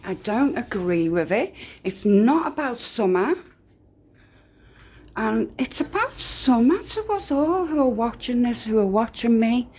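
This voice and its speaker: A woman's voice: fairly high pitch, lively intonation, unhurried, darkish tone, husky. A woman from near Liverpool with a light Liverpool accent, giving rambling, candid true crime commentary. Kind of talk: casual commentary.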